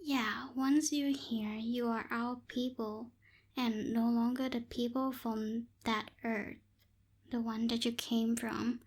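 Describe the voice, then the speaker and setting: A young woman voicing a bossy but secretly caring tsundere witch in a close-miked ASMR roleplay, softly scolding and a little hesitant. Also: on the high side, fairly even intonation, slow. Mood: protective, matter-of-fact. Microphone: close binaural microphone.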